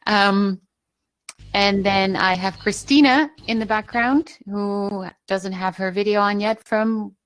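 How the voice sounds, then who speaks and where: A woman's voice: medium pitch, steady tone, slow, smooth, rough recording. Woman hosting a video call panel. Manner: friendly, chatty, apologetic with fillers. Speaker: host. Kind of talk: casual video call moderation.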